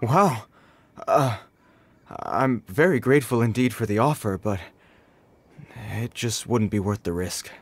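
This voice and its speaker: An actor playing an earnest, dutiful guard soldier in a fantasy video game, speaking formally and stiffly. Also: male voice, medium pitch, unhurried, long pauses, slight background noise, natural voice. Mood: grateful, hesitant. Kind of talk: scripted video game character acting.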